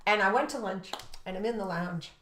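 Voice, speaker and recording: A woman's voice: mid-range voice, fairly quick, a bit bright, a little hiss or hum. An American woman, a teacher co-hosting an education show, telling classroom stories and giving advice emphatically, acting out dialogue. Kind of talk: animated storytelling and teaching advice. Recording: echoey room.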